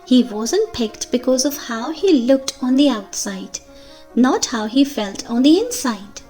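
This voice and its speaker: High-pitched, animated woman reading a storybook. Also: soft background music. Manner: slow, animated storytelling for young children. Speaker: children's story reader. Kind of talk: expressive picture-book read-aloud.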